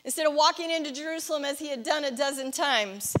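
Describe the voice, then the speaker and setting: A woman's voice: high voice, quick pace, fairly bright tone. A middle-aged woman pastor preaching in a reflective, explanatory way, putting questions to her listeners. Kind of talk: sermon. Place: church stage, indoors.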